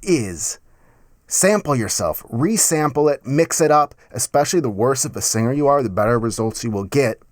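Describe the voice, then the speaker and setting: A man's voice: mid-range voice, lively intonation, normal speed, no echo. A man in his thirties giving an informal, enthusiastic, slangy music production tutorial on a close studio microphone in a small home studio. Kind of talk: casual instructional video presenting. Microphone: close studio microphone with pop filter.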